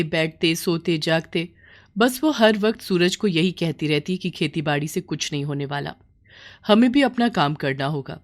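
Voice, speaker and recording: A woman storyteller reading a scripted moral bedtime story. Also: on the low side.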